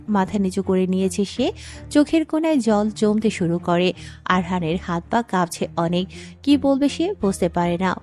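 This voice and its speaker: A woman's voice: medium pitch, a little mellow. A woman narrating a scripted dramatic story as its storyteller.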